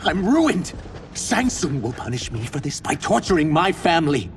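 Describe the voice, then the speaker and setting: An actor voicing a remorseful reptilian shapeshifter in human form, earnest and formal, in dramatic video game style. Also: male voice, on the high side, sing-song, moderate pace, a little hiss or hum. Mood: desperate. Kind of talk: video game cinematic acting.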